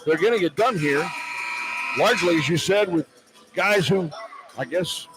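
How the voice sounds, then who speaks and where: High-pitched American basketball play-by-play man. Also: normal speed, over music, rough recording. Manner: clipped phrases tracking each pass and shot. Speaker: radio play-by-play announcer. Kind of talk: live basketball play-by-play commentary. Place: courtside at an indoor arena. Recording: arena noise behind broadcast commentary. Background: arena ambience, basketball bounce.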